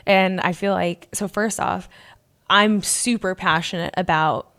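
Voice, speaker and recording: A woman's voice: on the low side, steady tone, measured pace, a bit bright. A woman in her early thirties from New Hampshire, a nonprofit leader telling her story in a chatty, enthusiastic way. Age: 30s. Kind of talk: casual interview conversation.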